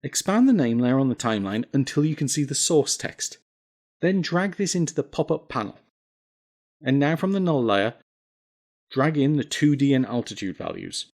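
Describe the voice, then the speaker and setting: A man narrating a scripted software tutorial, giving clear step-by-step instructions with wry, self-deprecating asides. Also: mid-range voice, animated, normal speed. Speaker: tutorial presenter.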